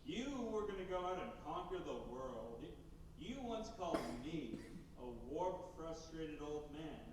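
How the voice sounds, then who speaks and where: A man's voice: on the high side, animated, measured pace, noisy background. A man playing a cruel, gloating old banker in a live radio play, cold and menacing, heard from far off on an echoey stage. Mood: sneering. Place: theater stage.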